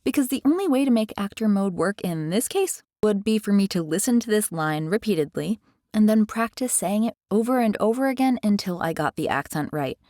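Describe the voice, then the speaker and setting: Lively American woman explaining. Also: on the low side, no room sound, studio quality. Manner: chatty, animated, curious. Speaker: tutorial host. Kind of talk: casual explainer. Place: carpeted bedroom. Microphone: headset mic.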